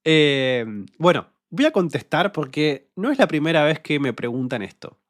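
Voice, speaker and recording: A man's voice: fairly high pitch, no room sound. Young argentine guy, rioplatense accent, r sounds kinda french, lots of ehs, dry close mic. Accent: neutral Rioplatense Argentine Spanish, uvular French-like R. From Patagonia, Argentina. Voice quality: uvular French-like R. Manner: relaxed and hesitant, many fillers, speaks slowly for learners. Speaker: podcast host and Spanish teacher. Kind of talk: casual solo podcast, reading listener comments.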